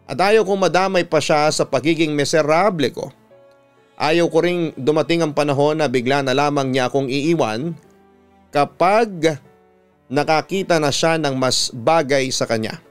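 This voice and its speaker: A man's voice: on the high side, a little slow, clear, resonant tone. A Filipino man narrating a dramatic first-person story in the practised manner of a radio announcer. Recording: music behind the voice. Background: background music.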